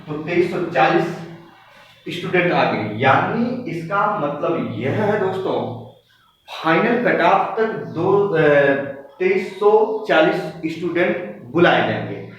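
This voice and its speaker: A man's voice: high voice, very animated, slow pace, faint music behind. Echoey, distant man teaching. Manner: emphatic, repetitive, directly addressing students. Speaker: exam coaching teacher. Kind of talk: informal explanatory lecture on exam figures. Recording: very echoey room, distant muffled mic, noisy.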